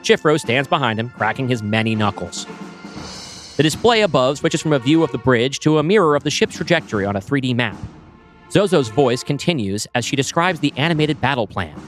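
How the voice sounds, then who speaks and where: A man's voice: mid-range voice, lively intonation, brisk, soft background music. A man reading a screenplay's scene directions aloud, with a wry, descriptive manner. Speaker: podcast co-host.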